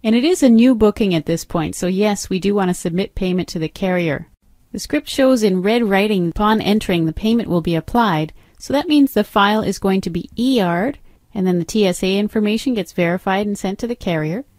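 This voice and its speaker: A woman narrating an instructional software demo as a trainer, explaining step by step in an instructive way. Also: mid-range voice, fairly quick, no echo, studio quality.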